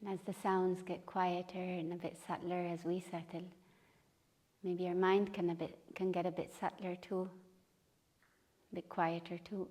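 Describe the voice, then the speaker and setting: A woman with a lowish voice guides a meditation in a very flat, even tone. She speaks gently and unhurriedly, like a teacher leading a group, leaving space between phrases. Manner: gentle, unhurried, with long pauses. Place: room at a retreat.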